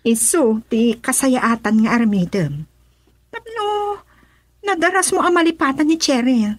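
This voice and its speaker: Young Ilocano female confidante for radio drama, very high voice, coaxing. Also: expressive, unhurried, no room sound. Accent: Ilocano Filipino.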